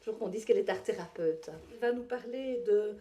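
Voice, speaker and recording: A woman's voice: mid-range voice, fairly quick, dark tone. A woman moderating a conference, introducing the next speaker.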